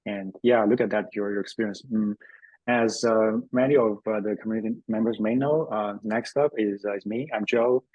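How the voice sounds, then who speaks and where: Give me a man hosting a tech webinar, a bit low-pitched and hesitant, with a dry sound. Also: steady tone, normal speed, no room sound, muffled recording. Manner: hesitant, many fillers and restarts. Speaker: webinar host, developer advocate. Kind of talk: casual webinar presentation.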